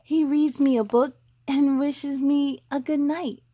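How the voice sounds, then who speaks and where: High-pitched woman hosting children's story time. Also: takes it slowly, slight background noise. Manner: animated, sing-song, addressing young viewers directly. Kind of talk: children's read-aloud with chatty asides.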